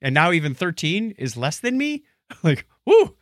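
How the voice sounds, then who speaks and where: Animated man with a higher-pitched voice. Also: measured pace, no echo, studio quality. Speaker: podcast co-host. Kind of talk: casual banter and listener feedback.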